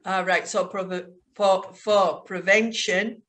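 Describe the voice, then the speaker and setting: A woman from the UK teaching English, guiding a conversation class in slow, clear speech with many fillers. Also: medium pitch, fairly even intonation, a bit bright. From United Kingdom.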